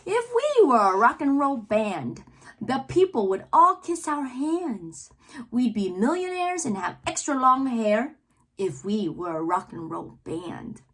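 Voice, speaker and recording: A woman reading poems aloud for children in a theatrical way, drawing out words and pausing between phrases. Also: a little high, big pitch swings. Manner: theatrical, drawn-out words with pauses. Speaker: read-aloud host, children's reader. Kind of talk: expressive poetry read-aloud for children.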